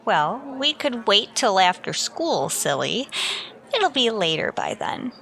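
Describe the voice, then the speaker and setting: A woman playing a wry, lovestruck high school teacher in an old-time radio comedy, with a dry, sly manner. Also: very animated, slow, vocal fry, a bit bright, exaggerated voice, rough recording. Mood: wry, coaxing. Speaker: lead actor and narrator. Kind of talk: old-time radio comedy acting, first-person narration.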